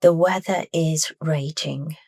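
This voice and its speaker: Female meditation narrator: low register, soft and deliberate, dry studio-like sound. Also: fairly even intonation, dry recording. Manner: soft, unhurried, deliberate phrasing. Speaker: meditation guide.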